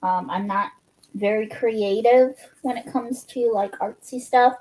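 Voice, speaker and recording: A woman with a voice a bit higher than most chats casually on a livestream. She is unhurried and takes her words slowly. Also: warm tone, slight background noise, rough recording. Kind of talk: casual chat.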